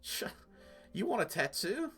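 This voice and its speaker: A man's voice: high-pitched, big pitch swings, unhurried, fairly bright tone, slightly rough, soft background music, voice pushed up, heavily acted. Man acting a slangy, swooping punk girl. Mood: puzzled, casual. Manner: filler-heavy slacker slang. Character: sassy, slangy young punk woman.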